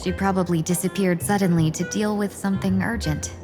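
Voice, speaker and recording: An actress playing a modest young envoy's aide in a video game, polite and formal. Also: female voice, mid-range voice, fairly even intonation, brisk, over music, natural voice. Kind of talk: video game character acting.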